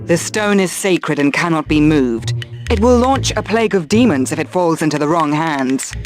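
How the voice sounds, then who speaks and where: Stern, low-voiced warrior woman. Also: expressive, moderate pace, soft background music. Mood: stern, defiant. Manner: firm, formal, solemn. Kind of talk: video game cutscene acting.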